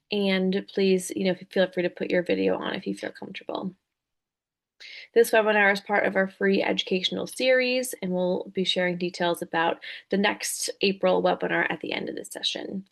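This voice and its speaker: A woman's voice: medium pitch, gravelly. A woman hosting a webinar as a dietitian, welcoming attendees and introducing the speaker from prepared notes.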